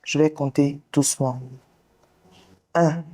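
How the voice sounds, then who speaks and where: A woman therapist hosting the show, leading a guest through a slow guided visualization and counseling talk in a soothing, directive way. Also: deep voice, steady tone, mellow. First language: English. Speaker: sex therapist and show host.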